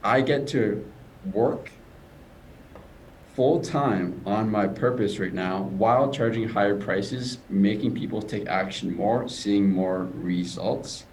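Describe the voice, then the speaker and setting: A man's voice: on the low side, takes it slowly, a little mellow, a little hiss or hum, rough recording. A young man who stutters, a coach talking casually and earnestly in a video call interview. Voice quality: stutters, repeats words.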